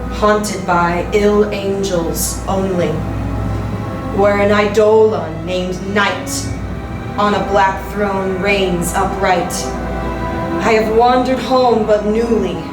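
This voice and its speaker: A woman giving a solemn, deliberate and theatrical dramatic reading of poetry. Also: mid-range voice, steady tone. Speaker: poetry reader. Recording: roomy, distant voice over music. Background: music.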